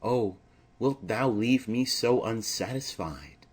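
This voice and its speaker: A man voicing an ardent, bold, lovestruck young nobleman in earnest, measured Shakespearean verse. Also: mid-range voice, lively intonation, slightly rough. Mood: yearning.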